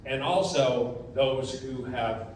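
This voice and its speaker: A man with a mid-pitched voice gives an informal speech of thanks as a guest at a museum. The space is heavily reverberant and he is picked up from some distance. Faint noise sits under the recording. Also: slow pace. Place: indoors in a museum. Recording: very echoey room, distant mic, faint noise.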